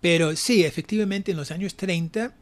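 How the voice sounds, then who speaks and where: An older man from the United States with an American accent, a native English speaker, giving reflective, halting answers as an academic guest. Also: high-pitched. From United States (New Jersey). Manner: hesitant, reflective, frequent pauses. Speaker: academic guest, university professor. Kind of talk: conversational interview answers.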